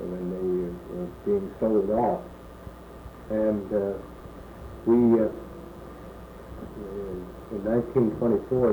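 A man in his sixties from California, recalling his early life in an oral history interview and pausing often with "uh". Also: on the low side. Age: 60s. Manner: halting, many "uh" pauses. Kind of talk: slow reminiscing answers. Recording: echoey room, muffled phone-like tape with faint hiss.

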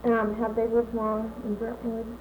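A woman's voice: on the high side, monotone, moderate pace, narrow, tinny audio, slight background noise. A woman conducting an oral history interview, asking hesitant questions with fillers. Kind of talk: reading intro, asking questions.

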